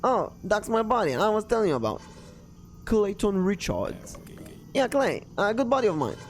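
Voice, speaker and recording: Man doing a rambling folksy local boater, pitched up. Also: sing-song, moderate pace, slight background noise. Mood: easygoing. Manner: rambling, colloquial.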